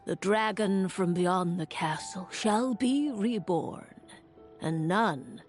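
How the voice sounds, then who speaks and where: An imperious seer witch from a fantasy game, played by a woman, speaking very slowly at a medium pitch. She is solemn, grand and haughty over clearly audible music. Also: a little mellow, close to an everyday voice. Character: imperious mystical witch prophetess, solemn and proud. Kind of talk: theatrical video game voice acting.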